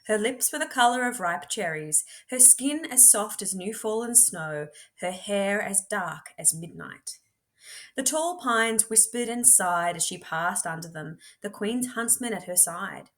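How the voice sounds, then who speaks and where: A woman's voice: medium pitch, fairly bright tone. Woman reading a story aloud dramatically. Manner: measured, dramatic storytelling delivery with deliberate pauses. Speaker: teacher reading books aloud. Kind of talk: expressive storybook read-aloud.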